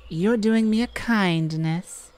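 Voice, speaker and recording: Woman acting a slow-spoken, weary, defiant mage. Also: mid-range voice, a little mellow, close to an everyday voice. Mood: wary, sarcastic. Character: weary, defiant human mage longing for captured mate. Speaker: audio roleplay performer. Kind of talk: scripted dramatic audio roleplay.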